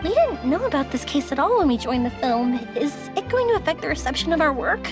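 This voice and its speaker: A bubbly young woman from a video game, high and very lively in pitch. She sounds eager and girlish, with music prominent behind her. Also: quick pace. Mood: concerned.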